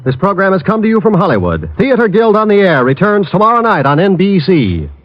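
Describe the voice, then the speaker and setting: High, lively 1950s radio announcer man. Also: brisk. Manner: formal, polished announcer delivery. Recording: dull old radio recording over loud music.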